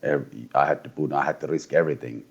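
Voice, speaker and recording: A man's voice: rumbling low, fairly even intonation, fast talker, husky, highs cut off. A Finnish man in his forties from eastern Finland, a tired interview guest who talks bluntly, swears, and restarts his sentences often. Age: 40s. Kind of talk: casual interview talk.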